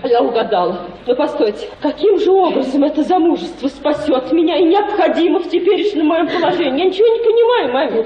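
Radio drama role: defiant young daughter, high and very animated, sardonic, blunt, in an echoing room. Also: female voice, fast talker, few pauses, a crowd in the background. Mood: incredulous. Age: young adult. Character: proud, blunt young unmarried daughter, scornful of pretence. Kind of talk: theatrical Russian radio play acting. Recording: dull, echoing room sound.

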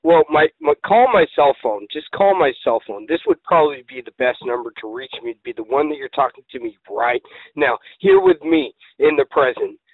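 A man playing an eccentric, muddled, easily excited prank caller who rambles and repeats himself in grandiose words over the phone. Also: medium pitch, normal speed, creaky, no echo, full character voice. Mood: rambling, insistent. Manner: rambling, repetitive, theatrical, grandiose wording. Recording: tinny lo-fi phone call.

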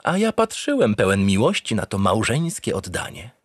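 An actor playing a pompous, naive spaceship captain, narrating a comic sci-fi radio play in a theatrical, grandiloquent, digressive way. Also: male voice, lowish voice, big pitch swings, moderate pace, breathy edge, no room sound, studio quality. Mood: fond, boastful. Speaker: lead actor in an audio drama.

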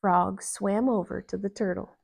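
A woman in her thirties reading a children's story aloud with expressive, deliberate narration. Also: mid-range voice, creaky, breathy edge. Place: living room, indoors on a couch.

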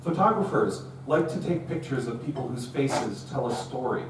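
A middle-aged man speaks to a live meetup audience in a relaxed, storytelling manner. His voice sounds distant and echoes heavily around the room. A faint hum sits underneath. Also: medium pitch, normal speed. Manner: conversational storytelling, acts out quoted dialogue. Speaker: conference speaker. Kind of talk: live technical talk. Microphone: room recording from far camera.

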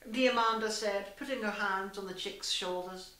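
A woman's voice: medium pitch, normal speed, pushed and bright. A young woman reading a story aloud in a theatrical, arch, mock-genteel manner. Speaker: story reader. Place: small room indoors. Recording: echoey room, distant mic.

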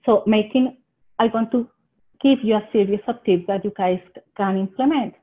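Colombian-accented woman over a tinny call. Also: medium pitch. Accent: Colombian Spanish. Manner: earnest, halting non-native phrasing. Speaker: extension agent presenter. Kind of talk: webinar presentation. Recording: tinny phone-like webinar audio.

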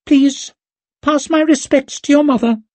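Squeaky, very high-voiced polite strongman. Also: steady tone, unhurried, darkish tone, no room sound. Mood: awkwardly polite. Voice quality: squeaky, thin. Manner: stiffly polite. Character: hulking strongman with an absurdly high, squeaky, awkward voice.

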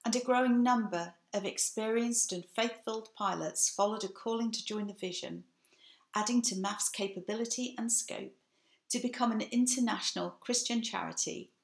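Gravelly older English woman preaching. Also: mid-range voice. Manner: measured, earnest delivery. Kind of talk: sermon. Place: home room with bookshelf, indoors. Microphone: webcam, seated facing camera. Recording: echoey room.

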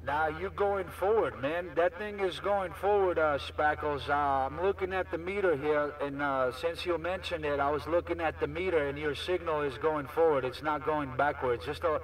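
Guy on cb radio rambling in slang, kinda high voice, sounds far off. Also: moderate pace, hardly any pauses. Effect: CB radio transmission. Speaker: CB radio operator. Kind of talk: casual CB radio chat. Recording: distant-sounding CB radio reception.

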